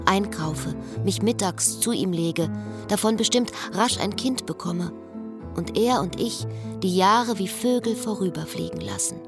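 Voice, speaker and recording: An actress playing a wistful, guarded middle-aged woman who confides in measured, lyrical lines of a radio drama. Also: mid-range voice, close to an everyday voice. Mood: wistful, reflective. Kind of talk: literary radio drama, first-person monologue and dialogue. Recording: music behind the voice. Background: music.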